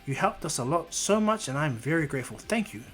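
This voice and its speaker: A man voicing a small, polite animal school principal who thanks a student warmly. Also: very animated, a little fast, soft background music. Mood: grateful. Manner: high and gushing.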